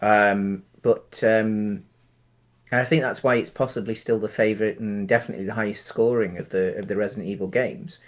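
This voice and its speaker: A man's voice: on the low side, steady tone, fairly quick. Hesitant man, casual film chat. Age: late 30s. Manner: hesitant, rambling, thinking aloud. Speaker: podcast co-host reviewing films.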